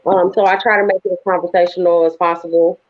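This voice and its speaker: Lively poet woman, lo-fi call audio. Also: on the low side, fast. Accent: New Orleans. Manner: lively, conversational, emphatic. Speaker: guest, spoken word poet. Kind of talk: casual interview talk. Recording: dull lo-fi audio.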